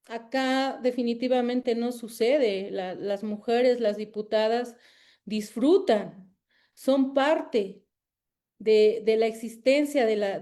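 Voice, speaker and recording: A woman giving a thoughtful academic talk as an invited researcher, often pausing and repeating words while she searches for them. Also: on the high side, mellow.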